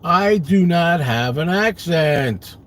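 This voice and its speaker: A man's voice: a little high, animated, slow. Brooklyn-accented man chatting from his car. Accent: New York, Brooklyn. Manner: chatty, self-deprecating, rambling. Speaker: live stream host. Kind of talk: casual chat answering viewers. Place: parked car.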